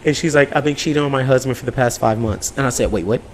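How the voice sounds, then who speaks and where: A man's voice: medium pitch, fast, a little hiss or hum, poor audio. A man telling a casual podcast story as co-host, slangy and profane, acting out both sides of conversations. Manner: slangy, profane, animated retelling with acted-out dialogue.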